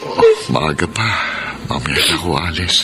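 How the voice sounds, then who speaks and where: A man's voice: a bass voice, huge pitch swings, normal speed, sharp tone, a little hiss or hum, full character voice. An actor playing a devoted young seaman fiancé in a Filipino radio drama, soothing and earnest.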